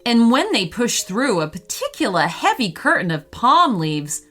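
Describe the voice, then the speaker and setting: Female bedtime story narrator, expressive and slow-paced, with very animated intonation over soft music. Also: fairly high pitch. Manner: expressive storytelling for young children. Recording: music behind the voice.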